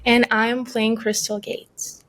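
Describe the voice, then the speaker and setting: A woman's voice: fairly high pitch, level tone, a little slow, fairly bright tone. A woman from Ukraine, an actor, casually introducing herself.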